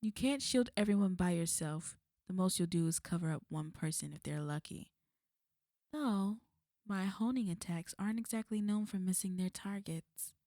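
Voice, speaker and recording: Villainess, ASMR roleplay, played by a woman: dark relaxed tone, very dry close sound, cool threats with a smug, taunting edge. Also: lowish voice, no room sound, close to an everyday voice. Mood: firm, coaxing. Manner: taunting, coolly threatening, unhurried. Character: smug, menacing female villain, fond of an enemy.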